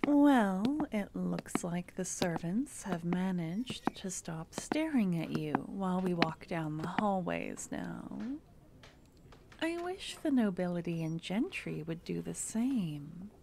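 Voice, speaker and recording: A woman playing a young female court necromancer who is tenderly affectionate and playful with her lover, speaking softly and often in a whisper for an ASMR roleplay. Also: lowish voice, big pitch swings, slow, creaky, background noise, exaggerated voice. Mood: tender, teasing. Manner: soft, intimate, often whispered.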